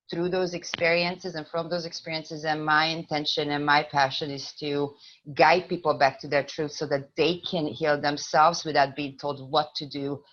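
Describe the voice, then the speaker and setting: Low-voiced Hungarian woman on a call. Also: fairly bright tone. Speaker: online meeting speaker. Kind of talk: personal introduction on a video call. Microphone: video call. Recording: dull lo-fi call audio.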